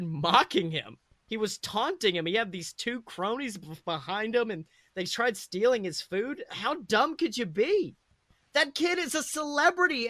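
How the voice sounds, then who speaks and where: Man voicing an excitable, bright-voiced young wizard boy. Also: high voice, no echo, pitched above the performer's own voice, full character voice. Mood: indignant. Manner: chatty, excitable, self-correcting. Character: eager, proud eleven-year-old wizard boy narrating his life. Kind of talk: first-person dramatic storytelling.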